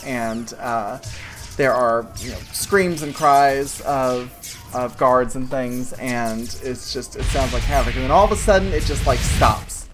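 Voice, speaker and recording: A woman narrating as game master of a tabletop game, describing scenes in a rambling way full of restarts and fillers. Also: very deep voice.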